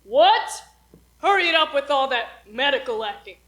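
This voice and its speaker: Woman putting on a loud, gruff, impatient man. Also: high voice, animated, moderate pace, voice pushed down. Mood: impatient, exasperated. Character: gruff, impatient man banging on a school bathroom door. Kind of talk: solo stage performance of a comic play.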